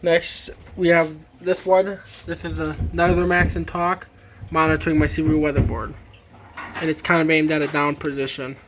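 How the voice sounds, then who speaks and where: A man giving a casual, halting, informal show-and-tell demonstration as a hobbyist. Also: a little high, level tone, background noise. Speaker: hobbyist demonstrating a radio collection.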